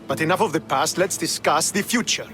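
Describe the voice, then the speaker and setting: An actor playing a stern, proud young Spartan military commander in a video game, speaking formally and with earnest authority. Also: male voice, a little high, a little fast, close to an everyday voice. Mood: stern, challenging. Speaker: video game character, army officer. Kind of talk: dramatic video game cutscene acting. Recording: game soundtrack music behind the voice.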